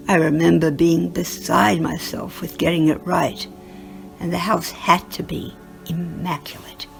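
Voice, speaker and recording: A woman's voice: fairly low pitch, creaky. A woman reading first-person recollections aloud as a narrator. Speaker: reader of written testimonials.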